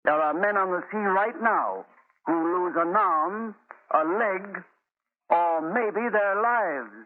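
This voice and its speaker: A high-voiced, grave government official. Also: male voice, takes it slowly. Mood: grave, urgent. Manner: formal, emphatic, declamatory. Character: earnest, grave government official briefing shipmasters. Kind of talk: 1930s radio acting. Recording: narrow, faintly noisy old radio.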